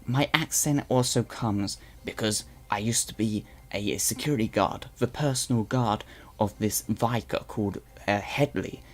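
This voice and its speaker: Brief: man playing a blustery creature propaganda anchor for a live roleplay stream, odd put-on accent, fairly low, rambling with restarts. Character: male Slig guard turned propaganda newscaster, boastful.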